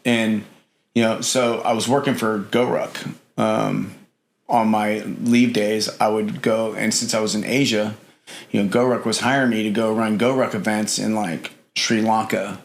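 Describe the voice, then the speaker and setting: Gravelly low-voiced middle-aged man. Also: little pitch movement, a little slow. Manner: rambling, lots of fillers and "you know". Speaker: podcast guest. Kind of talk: casual interview chat.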